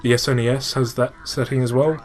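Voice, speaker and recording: A man's voice: medium pitch, level tone, fairly quick. A man giving casual, informal gaming commentary as a let's play host, swearing freely and explaining technical details.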